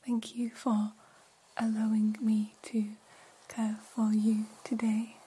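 A woman voicing a gentle, curious humanoid alien in whispered ASMR roleplay, speaking in soothing, stilted, formal phrases. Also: on the high side, completely flat delivery, slow pace, slight background noise. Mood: grateful, gentle. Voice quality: breathy whisper.

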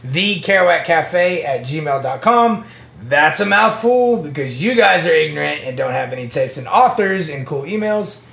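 Lively gravelly young man, boastful podcast host. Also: fairly high pitch, normal speed. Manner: loud, laughing, boastful. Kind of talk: crude casual banter among friends. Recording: echoey room with some background noise.

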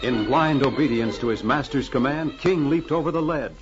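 A man's voice: mid-range voice, moderate pace. A man narrating as a radio announcer in a formal, theatrical 1940s drama style. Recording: muffled old radio broadcast. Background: music.

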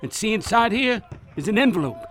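A high-pitched, proud old Southern patriarch. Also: male voice, very animated, brisk, fairly bright tone, a little hiss or hum. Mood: grave, confiding. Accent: American South. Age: older. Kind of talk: radio drama acting.

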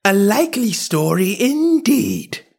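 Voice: mid-range voice, very animated, unhurried, darkish tone, slightly rough, pitched above the performer's own voice, heavily acted. A storybook reader voicing a frantic, scolding mother pigeon with a querulous, shrieking, contemptuous manner. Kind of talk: storybook character acting.

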